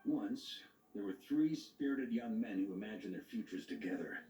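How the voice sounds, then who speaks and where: An actor playing a stern knight grandmaster in a video game, measured and authoritative with a dry wit. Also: male voice, fairly low pitch, animated, mellow, roomy sound, recorded from a distance, slight background noise, lightly acted, low-quality recording. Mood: reflective. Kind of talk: dramatic video game voice acting.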